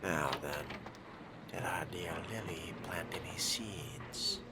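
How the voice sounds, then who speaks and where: Noise sits clearly behind a man roleplaying a brooding vampire fledgling. His deep, slow voice muses with cool calculation in formal, measured phrases, as in a video game roleplay. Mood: musing, suspicious. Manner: measured, musing to himself, formal wording.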